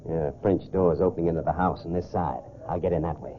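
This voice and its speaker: A low-pitched American man, an actor as a suave, self-assured swindler from a 1940s crime serial. He gives clipped, calculating orders through a narrow, phone-like old radio recording. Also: fairly even intonation, fast talker, slight background noise, close to an everyday voice. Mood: businesslike. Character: smooth, confident gentleman swindler posing as society golfer.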